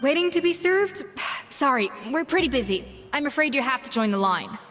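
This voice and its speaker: Stern high-voiced head chef. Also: female voice, lively intonation, fairly bright tone. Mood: brusque. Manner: brisk, scolding, commanding. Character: exacting, stern young female head chef. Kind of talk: anime game voice acting. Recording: long reverb under game music. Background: game music.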